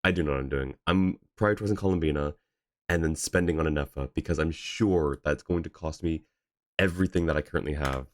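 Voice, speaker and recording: A man chatting casually on a game livestream in a halting, chatty way. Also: very deep voice. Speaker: game streamer.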